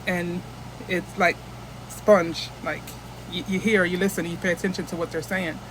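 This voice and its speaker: A woman's voice: lowish voice, fairly even intonation, moderate pace, slight background noise, poor audio. A woman from the United States chatting casually into her phone camera as a vlogger, rambling and restarting often.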